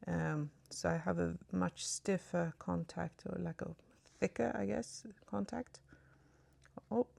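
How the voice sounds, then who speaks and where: Swedish lady doing a morning makeup vlog, low gravelly voice, chatty, says sorry a lot. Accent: Swedish-tinged British English. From Sweden. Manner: chatty, apologetic asides, soft murmurs while applying makeup. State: just woke up. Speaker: beauty vlogger. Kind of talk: casual makeup tutorial chat.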